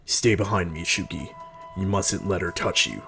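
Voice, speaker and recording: A man voicing a calm, mysterious, protective male fighter in a story, composed, grave and measured. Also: low-pitched, vocal fry, faint music behind.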